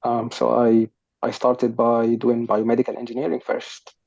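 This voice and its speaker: Gravelly, soft-spoken young man on a video call. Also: medium pitch, completely flat delivery, normal speed, no echo. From Sudan. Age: young adult. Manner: soft-spoken, thoughtful, hesitant with many fillers. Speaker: guest. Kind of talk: podcast interview answers. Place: home room, sitting on a sofa. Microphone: headset on a video call. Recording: dull lo-fi video call audio.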